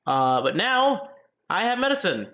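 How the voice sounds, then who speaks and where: A sick, slightly hoarse man rambling through casual commentary on a gaming livestream, full of fillers and sudden outbursts. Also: high-pitched, big pitch swings, unhurried, sharp tone.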